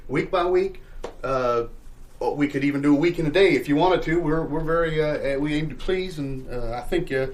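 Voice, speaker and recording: A man playing an evasive, sales-hungry landlord in an improvised comedy sketch, with halting, stammering salesman patter full of euphemisms. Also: a little high, slight background noise, natural voice. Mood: coaxing. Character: evasive, sales-hungry male landlord showing shabby rental bungalow.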